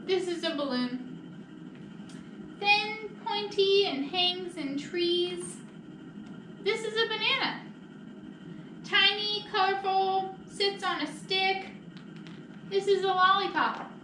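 A woman's voice: extremely high pitch, slow, pauses often. A woman voicing a small, boastful, stubborn mouse in a picture-book read-aloud, cocky and insistent, in an echoey room. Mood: breezy, confident. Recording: echoey room, distant mic, noisy.

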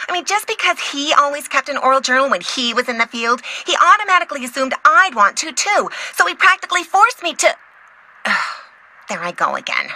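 A woman voicing an eager, quirky, chatty young insect scientist in a video game, excitable and easily sidetracked. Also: fairly high pitch, poor audio. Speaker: game character, field entomologist. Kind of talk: animated video game voice acting.

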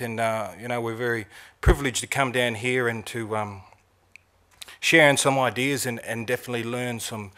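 A middle-aged Australian man speaking at a conference, relaxed and rambling, with many "you know"s. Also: medium pitch. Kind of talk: conference talk. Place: conference stage, indoors.

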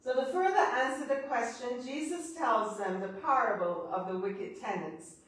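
A woman's voice: on the high side, normal speed. A middle-aged woman priest with a Barbadian accent preaches from a pulpit, chatty and joking with the congregation between points. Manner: chatty, laughing asides, teasing the congregation. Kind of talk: sermon with congregation banter. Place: church sanctuary at a pulpit. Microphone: far camera. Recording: echoey church from a distance.

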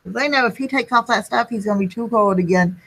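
A young woman with a husky voice reads a picture book aloud to children, pausing to explain it in simple words. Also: on the high side, a bit bright, poor audio. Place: small indoor room.

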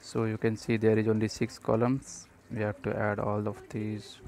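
A man presenting a step-by-step programming tutorial, speaking haltingly in short fragments. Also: on the low side, monotone, creaky, darkish tone. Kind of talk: step-by-step screencast tutorial narration.

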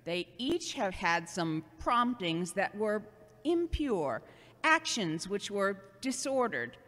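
A woman playing a loving, gently scolding seventy-year-old mother in an audio drama, earnest and halting. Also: mid-range voice. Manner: earnest, sermonizing, halting delivery. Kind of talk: amateur audio drama acting. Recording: long hollow reverb.

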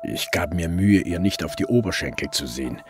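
Very deep-voiced brooding detective narrator. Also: male voice, sing-song, brisk, over music. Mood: reflective, subdued. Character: brooding male police detective telling his case. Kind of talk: first-person audio drama crime narration.

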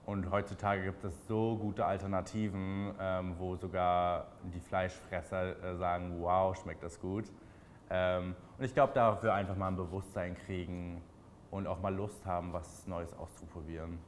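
Relaxed Berlin-accented young man, lowish voice. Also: a little slow, a little hiss or hum. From Berlin, Germany. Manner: relaxed, chatty, many fillers, laughs easily. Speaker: interviewee. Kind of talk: casual interview answers.

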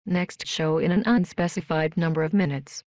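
A woman voicing a polite, emotionless female robot worker in a video game, with flat, stilted, deadpan delivery. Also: deep voice, moderate pace, dry recording, natural voice. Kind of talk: scripted video game voice lines.

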